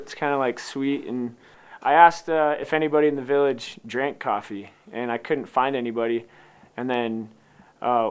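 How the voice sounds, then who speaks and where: Clear-voiced American man telling a story casually. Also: medium pitch. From Utah, United States. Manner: informal, unscripted, loosely rambling. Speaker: coffee company founder telling its story. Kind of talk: casual personal storytelling to an interviewer.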